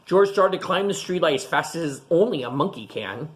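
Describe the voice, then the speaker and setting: A low-voiced woman reads to young children as their teacher. Her pitch swings widely as she tells the story, and she explains things in simple, child-directed words. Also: animated, normal speed. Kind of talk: picture-book read-aloud with simple explanations to young children.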